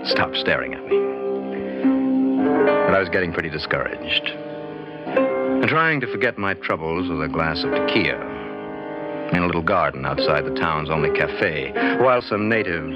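A man's voice: low voice, lively intonation, normal speed, long pauses. An actor playing a discouraged, impatient American academic adventurer telling his own story in an old-time radio drama. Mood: weary recounting. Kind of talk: old-time radio drama acting, first-person narration. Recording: dull old radio recording, loud music.

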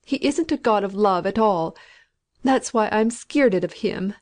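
A woman reading a storybook, voicing a frantic, terrified dying woman of about sixty who pleads in rough country speech. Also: medium pitch, lively intonation, measured pace, darkish tone, natural voice. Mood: bitter, stubborn.

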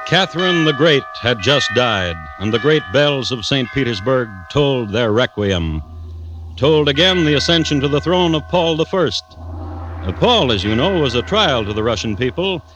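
A man narrating a vintage radio crime drama as host, deliberate and drily droll. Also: mid-range voice, big pitch swings, a bit bright, faint music behind. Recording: noisy old radio recording.

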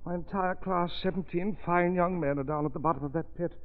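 A man's voice: sits high, steady tone, fairly quick. A man playing an anxious, fretful professor in an old radio drama, pleading and melodramatic. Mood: distraught. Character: anxious, fretful professor, protective of his students. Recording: tinny, noisy old radio recording.

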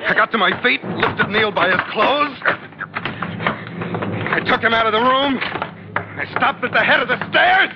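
An actor playing a big, tough ship's skipper in a terse, commanding, old-time radio adventure style. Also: male voice, very high voice, lively intonation, moderate pace, vocal fry, pauses often, background noise, heavily acted. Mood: tense, hard. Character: big, tough, hard-boiled male ship's skipper, narrating his adventures. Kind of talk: 1940s-50s radio adventure acting, clipped first-person narration. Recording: dull old radio recording, loud music behind.

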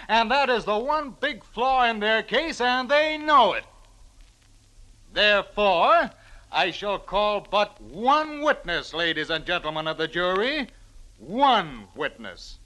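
Crafty defense lawyer, high bright voice, swooping delivery, oratorical, old-time radio drama. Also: male voice, sing-song, full character voice, poor audio. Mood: grandly forceful. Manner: oratorical, rhetorical, dramatic pauses. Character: crafty, theatrical male defense attorney in court.

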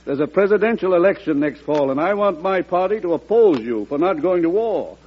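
An American man acting a bombastic, combative senator in an old-time radio drama, orating pompously and forcefully. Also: high-pitched, expressive, fairly quick. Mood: combative, scornful. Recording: dull, noisy vintage radio, long hall echo.

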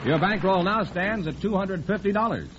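A man's voice: high voice, animated, normal speed, fairly bright tone, faint music behind. A man working as the announcer on a radio quiz show. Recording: dull, hissy vintage radio broadcast.

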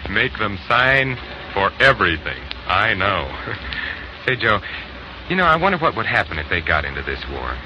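A man's voice: fairly low pitch, very animated, moderate pace. A man playing an eager, hot-headed young machinery dealer in a 1940s radio drama, with a boyish, folksy manner. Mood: wary. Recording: noisy 1940s radio recording.

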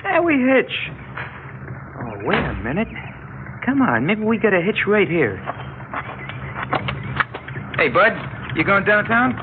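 A man's voice: sits high, big pitch swings, slow pace, long pauses, heavily acted. A man playing a cocky young gang leader in a radio drama, taunting in slangy, mock-polite talk. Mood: playful, cocky. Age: young adult. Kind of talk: 1960s radio drama acting. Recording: muffled, noisy old radio recording.

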